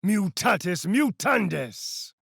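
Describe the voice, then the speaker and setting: An actor playing a vampire rogue spellcaster in a video game, declaiming incantations with intensity. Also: male voice, high voice, sing-song, slow pace, vocal fry, fairly bright tone, husky, dry recording, clean studio sound, full character voice. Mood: intense.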